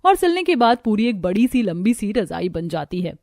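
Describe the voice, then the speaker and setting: A woman reading a scripted story as the narrator of an animated cartoon. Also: medium pitch, normal speed, faint music behind.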